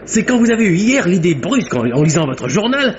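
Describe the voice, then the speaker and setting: An actor playing a detective's excitable, admiring companion in an old French radio mystery, stammering and repeating himself in amazement. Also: male voice, sits high, fairly quick. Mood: amazed, admiring. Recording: dull old radio recording, faint noise.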